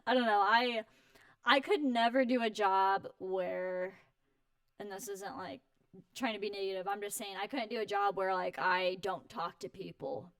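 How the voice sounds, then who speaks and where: Woman, twenties, effusive high-energy interview guest, informal, quick to laugh, dry recording. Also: fairly even intonation, smooth, no room sound. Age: 20s. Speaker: interview guest, home warranty company representative. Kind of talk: casual chat.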